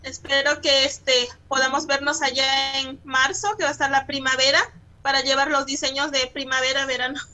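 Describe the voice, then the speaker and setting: A Mexican woman from Guerrero, a guest on a radio show, answering in a soft-spoken, modest way. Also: high voice, level tone, normal speed. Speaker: guest dress designer.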